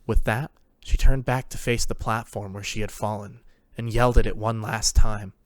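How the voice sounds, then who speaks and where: A man's voice: on the low side, fairly even intonation, normal speed, no echo. A man reading scripted story narration as the narrator of a fan fiction tale.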